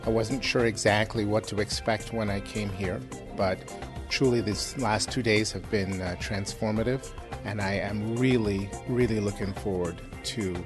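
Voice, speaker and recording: A man from Ohio, a coaching trainee, giving a measured, earnest testimonial. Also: lowish voice.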